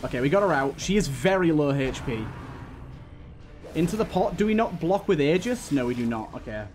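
A man's voice: a little high, lively intonation, a little fast, a little mellow. A man hosting a let's play, giving running commentary on a game. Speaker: gaming video host. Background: game music.

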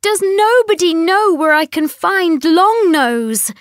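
Woman as young storybook girl, high voice, eager, earnest, pleading, determined. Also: animated, slow, dry recording, clean studio sound, heavily acted. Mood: crying out. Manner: pleading, exclaiming.

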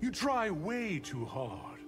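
An actor playing a disdainful, sardonic sorcerer in a video game, theatrical and condescending. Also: male voice, sits high, big pitch swings, slow, music in the background, exaggerated voice. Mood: amused disdain. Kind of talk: video game villain acting.

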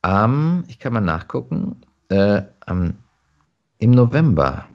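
A man chatting casually as an actor being interviewed, telling stories in an animated way. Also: fairly low pitch, slow pace, lo-fi sound.

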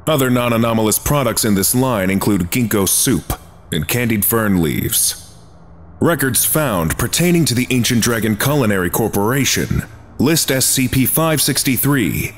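A man narrating archive files in a flat, clinical documentary reading. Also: lowish voice.

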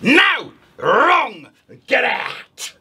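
A storyteller voicing a greedy scheming witch who draws out her words slowly and teasingly. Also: medium pitch, wildly swooping pitch, sharp tone, husky, full character voice. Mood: angry. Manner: slow, drawn-out, sinister taunting.

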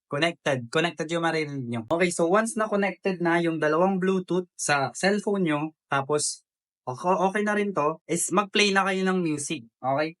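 A man's voice: fairly high pitch, a bit bright. A Filipino man giving a casual step-by-step tutorial as a vlogger, informally addressing viewers like friends.

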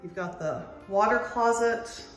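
A woman's voice: medium pitch, measured pace, soft background music. A middle-aged woman, a real estate agent, narrating a house walk-through on a handheld phone inside an empty home. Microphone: handheld phone behind the camera.

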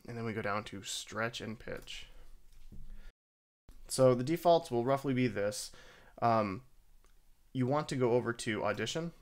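A man narrating a software tutorial in a casual, halting, self-deprecating way. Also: medium pitch. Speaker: tutorial host. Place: voice-over for a screen recording.

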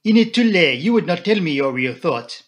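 A man voicing a keen, courtly foreign detective in a deliberate, knowing manner for an audiobook. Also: fairly high pitch, sing-song, pitched above the performer's own voice. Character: keen, teasing, theatrical foreign male detective.

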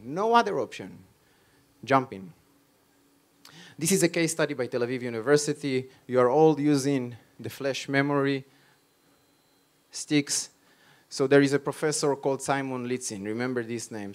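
A man's voice: mid-range voice, moderate pace. Emphatic Israeli man presenting on stage. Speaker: conference speaker on startups and innovation. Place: stage at a tech conference. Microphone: handheld microphone.

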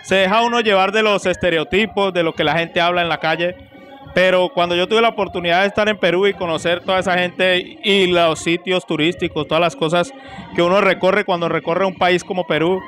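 A man's voice: sits high, fairly even intonation, fairly quick, a bit bright. A middle-aged man with a Colombian accent, a salsa singer answering casually in an interview on a handheld mic. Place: indoor room at a nightclub event. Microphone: handheld interview microphone. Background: faint music.

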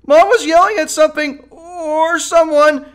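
A man's voice: very high voice, measured pace. A man voicing an anxious teenage grandson in a cartoon audio drama, nervous and whiny. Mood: panicky.

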